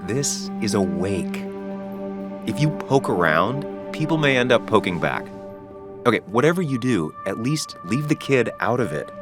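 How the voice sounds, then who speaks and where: A man playing a rational, protective companion in a video game, measured and admonishing as he probes. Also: fairly low pitch, big pitch swings, music in the background. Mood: cautioning, probing. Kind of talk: naturalistic video game acting.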